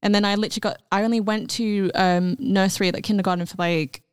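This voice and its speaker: A woman's voice: medium pitch, steady tone, quick pace, clean tone, no room sound. Chatty young woman, dry sound. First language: Cantonese. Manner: chatty, many fillers and restarts. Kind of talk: casual podcast chat.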